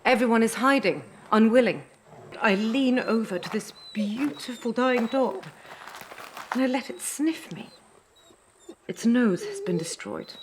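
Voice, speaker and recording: A woman playing a compassionate, resolute heroine in intimate, deliberate first-person dramatic narration. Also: mid-range voice. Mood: anguished, urgent. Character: compassionate, resolute heroine recounting mercy-killing a dying dog. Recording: clearly noisy background.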